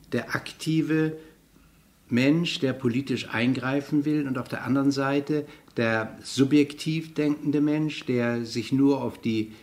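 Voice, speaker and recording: A man giving a reflective explanation in an interview, searching deliberately for his phrasing. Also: medium pitch.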